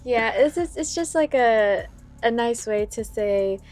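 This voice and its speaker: A young woman from Vietnam, a singer talking about her music in a casual interview, with a relaxed, hesitant way of speaking full of fillers. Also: a little high, a little slow. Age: young adult. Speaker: singer guest.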